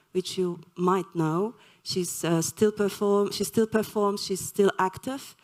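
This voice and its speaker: Slow, hesitant woman. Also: mid-range voice, a little mellow. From Poland. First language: Polish. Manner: slow, hesitant, many fillers. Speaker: lecturer. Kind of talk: live public lecture.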